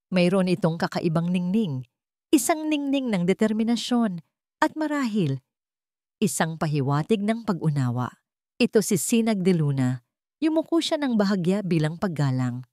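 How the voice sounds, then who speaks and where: A woman narrating a sentimental love story in a measured, earnest, dramatic way. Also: on the low side, dark tone, no room sound, studio quality.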